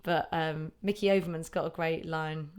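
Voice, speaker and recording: A woman's voice: deep voice, fairly even intonation, moderate pace, a little mellow, smooth. A British woman in her thirties, a stand-up comedian chatting casually and reflectively as an interview guest. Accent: British English. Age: 30s.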